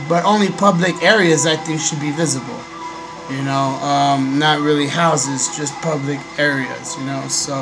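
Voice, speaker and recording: A young man, hoarse from a cold, hosting a casual webcam vlog from a small bedroom into a desk microphone, pausing often with ums and clearing his throat. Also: fairly high pitch. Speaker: host. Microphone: desk microphone on a stand. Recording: noisy home recording.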